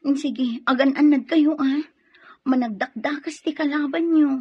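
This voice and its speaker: Very high-voiced, anxious Filipino woman acted out. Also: normal speed, no room sound, highs cut off, close to an everyday voice. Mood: concerned. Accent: Ilocano Filipino. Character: worried police office woman, anxious and caring. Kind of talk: Ilocano radio-style drama acting.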